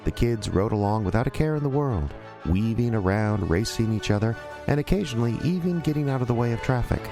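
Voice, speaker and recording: A man acting as a wistful, wry storyteller, narrating a childhood adventure for a radio drama in a folksy, measured way. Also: medium pitch, lively intonation, lightly acted. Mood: wry, lighthearted. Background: music.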